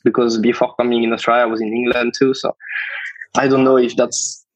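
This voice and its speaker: A man's voice: medium pitch, little pitch movement, a little fast, no echo, muffled recording. A French man with a French accent, a chef guest in a casual podcast interview, speaking hesitant English that he is still searching for. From France.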